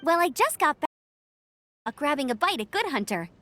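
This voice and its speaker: Perky high-pitched young knight girl. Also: very animated, normal speed, bright, forceful tone, faint music behind, heavily acted. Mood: cheerful, friendly. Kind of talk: scripted anime game dialogue.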